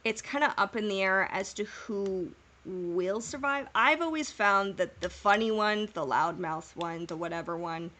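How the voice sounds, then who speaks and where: A woman's voice: medium pitch, measured pace, few pauses, sharp tone. A woman co-hosting a podcast, chatting casually as a fan and offering her guesses in a tentative, hedging way. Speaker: podcast co-host. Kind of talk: casual fan discussion and speculation.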